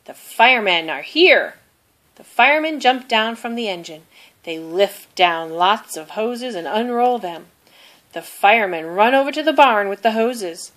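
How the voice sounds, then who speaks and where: A woman narrating a children's storybook aloud in an expressive, deliberate way. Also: on the high side. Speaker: storybook reader.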